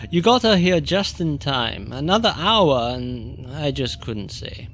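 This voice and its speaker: A man's voice: on the high side, very animated, a little slow, a bit bright, slight background noise, full character voice. Theatrical, swooping avuncular rabbit doctor played by a man. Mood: reassuring, grave. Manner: theatrical, emphatic, paternal. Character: avuncular male rabbit doctor, grandly reassuring. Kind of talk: audio drama acting.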